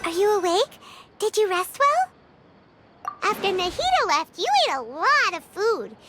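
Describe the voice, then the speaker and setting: A woman voicing a tiny, cheeky, childlike fairy companion in a video game, with a squeaky voice and perky, chatty manner. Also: big pitch swings, a little slow, heavily acted. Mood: teasing, chirpy. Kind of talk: animated video game voice acting. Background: game music.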